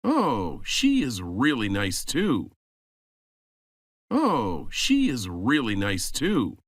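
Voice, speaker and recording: A man playing a helpful, friendly classmate in a slow scripted dialogue for English learners, saying each line twice. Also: mid-range voice, wildly swooping pitch, dry recording, exaggerated voice.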